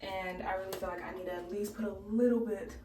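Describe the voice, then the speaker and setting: A woman's voice: medium pitch, steady tone, quick pace, darkish tone, slight background noise. A young woman talking to camera as she does her hair, casual and chatty. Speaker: beauty vlogger. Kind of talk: casual hair tutorial talk. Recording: roomy, from a distance.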